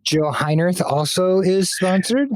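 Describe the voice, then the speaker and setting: A man's voice: high voice, a little slow. A man co-hosting a podcast, asking curious questions and making self-deprecating jokes. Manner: curious, playful. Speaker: podcast co-host. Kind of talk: casual podcast chat.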